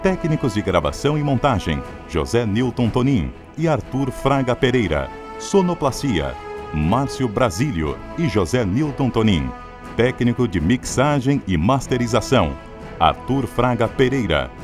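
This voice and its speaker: A man's voice: mid-range voice, big pitch swings, unhurried. A man with a Brazilian accent reading scripted radio announcements in a formal, emphatic way. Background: prominent music.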